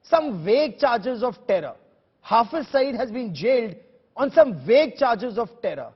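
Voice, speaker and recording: A man's voice: very high-pitched, very animated, a little slow, slightly rough, long reverb tail, highs cut off. A man with an Indian accent hosting a broadcast debate, putting emphatic, combative questions and repeating phrases for effect. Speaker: news debate host.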